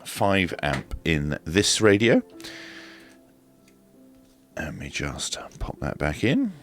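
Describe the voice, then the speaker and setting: A man's voice: rumbling low, wildly swooping pitch, slow, faint music behind. A British man giving casual, informal hands-on commentary as he presents a product review. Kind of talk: casual unboxing and demonstration commentary.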